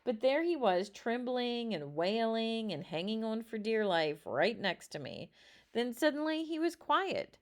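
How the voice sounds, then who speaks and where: Woman, children's read-aloud, very lively intonation, unhurried pace, dramatic emphasis. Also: fairly high pitch. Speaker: storybook reader.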